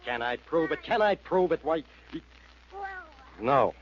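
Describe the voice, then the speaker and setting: An actor playing a blustering, impatient police inspector in 1940s radio style. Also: male voice, a little high, animated, a little slow. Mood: exasperated. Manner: gruff, impatient, emphatic. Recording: dull old radio recording.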